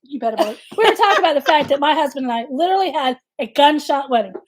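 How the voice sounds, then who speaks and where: A woman's voice: high-pitched, animated, racing, a little hiss or hum. A woman in her late forties co-hosting a casual live chat, talking candidly with plenty of joking. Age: late 40s.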